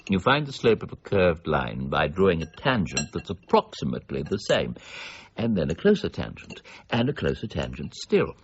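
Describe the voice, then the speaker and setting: Proud older scholar, very low voice, impatient and pompous, swooping intonation, period radio drama. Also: male voice, highs cut off, a little hiss or hum. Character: irascible, proud older scholar and mint warden.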